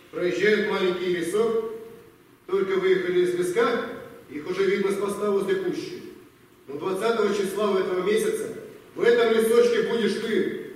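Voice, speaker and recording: Man playing a high-voiced army colonel, very echoey. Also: a little hiss or hum, lightly acted. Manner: deliberate short phrases, commanding. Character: wartime army colonel, a firm, weary, persuasive superior briefing his officer. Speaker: amateur stage actor. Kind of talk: radio play acting. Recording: echoey hall, far from mic.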